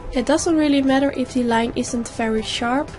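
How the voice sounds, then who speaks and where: A young woman with a slightly hoarse voice from a sore throat, calmly explaining each step of a makeup tutorial in a voice-over. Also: sits high, moderate pace, faint music behind. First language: Dutch. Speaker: makeup YouTuber.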